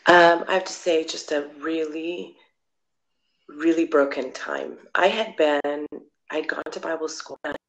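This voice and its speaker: A woman's voice: sits low, steady tone, a little slow, poor audio. A woman missionary from the United States telling her story in a candid, rambling way, with many fillers and restarts. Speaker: missionary and blogger. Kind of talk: personal testimony in conversation.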